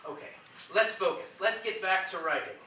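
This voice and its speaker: A man's voice: high voice, normal speed, close to an everyday voice. A man on stage playing a pretentious, earnest, pushy film-buff writer, opinionated and declamatory. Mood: insistent. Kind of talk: theatrical staged-reading dialogue. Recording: distant echoey stage recording, faint noise.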